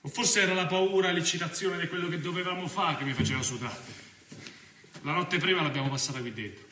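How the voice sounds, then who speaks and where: An actor playing a restless, foul-mouthed young fugitive in a theatrical monologue, with a Roman accent and a colloquial manner. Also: male voice, on the high side, a little fast. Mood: brooding, fed up. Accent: Roman Italian. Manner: colloquial, swearing, swinging from brooding to excited play-acting. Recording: roomy, distant mic with faint noise.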